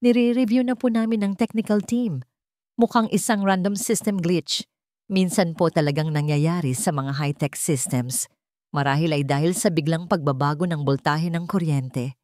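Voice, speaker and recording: A woman voicing a calm, disciplined male security chief and former soldier in a story, professional and deferential. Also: deep voice, normal speed, dry recording, clean studio sound, natural voice. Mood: calm, apologetic.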